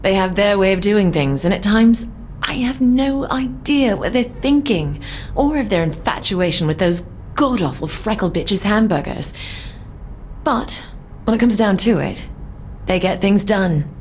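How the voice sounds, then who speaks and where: An actress playing a proud, disciplined British secret agent, formal and composed, in a scripted game audio log. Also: female voice, mid-range voice, expressive, a little slow, a little mellow, slight background noise, lightly acted. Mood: dry, grudging respect.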